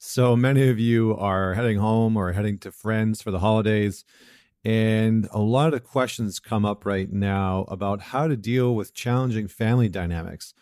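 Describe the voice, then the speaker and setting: Reflective man with a low, dry voice. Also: unhurried, darkish tone, no echo. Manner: reflective, earnest, with frequent fillers and restarts. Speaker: podcast host, men's coach. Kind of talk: casual solo talk with a read passage.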